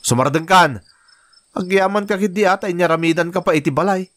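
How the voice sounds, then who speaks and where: A man's voice: high-pitched, a little fast, fairly bright tone, no room sound. Young Ilocano husband, brusque played by a man. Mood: irritated. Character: young provincial husband, fond but quick-tempered and brusque. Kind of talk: Ilocano radio drama acting.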